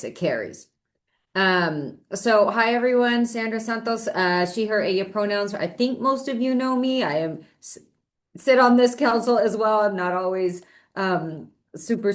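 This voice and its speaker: A woman, an assistant director, introducing herself and her work to an advisory council in a friendly, chatty way with fillers, on a laptop in a meeting room. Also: medium pitch. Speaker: assistant director of community services. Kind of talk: self-introduction at a public meeting. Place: meeting room, same room as a colleague. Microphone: laptop microphone.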